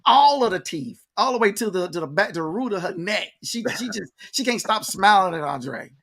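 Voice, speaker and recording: A man's voice: sits high, expressive, normal speed, a bit bright. A man hosting a casual conversational interview, often repeating himself and speaking straight to the audience. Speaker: interview show host.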